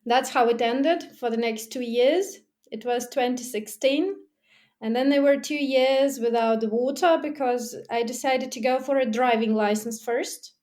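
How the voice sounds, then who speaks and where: A woman's voice: a little high, normal speed. A woman being interviewed about her diving, talking casually with frequent laughter and acting out her own remarks. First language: not German. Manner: lively, laughs often, acts out her own past remarks; restarts and fillers like "like". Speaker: guest, technical diver and underwater photographer.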